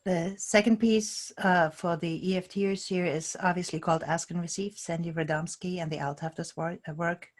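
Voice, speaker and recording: A woman with a slightly low voice guides a tapping session. She speaks in short, paced phrases for another person to repeat. She names each tapping point as she goes. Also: steady tone, moderate pace, hardly any pauses. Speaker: EFT tapping practitioner.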